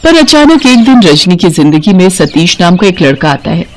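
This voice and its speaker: A woman's voice: lowish voice, very animated, normal speed, darkish tone. A woman narrating a scripted moral story as a storyteller.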